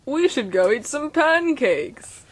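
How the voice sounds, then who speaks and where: A woman's voice: high-pitched, big pitch swings, slow pace, voice pushed up, full character voice. A woman voicing a curt, bossy father doll who plays favorites in a homemade comic story. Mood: fond, casual.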